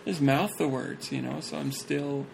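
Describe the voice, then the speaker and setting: A man's voice: medium pitch, normal speed, creaky, noisy background. A man reading a church talk aloud and chatting casually about it, breaking into jokes and laughter between passages.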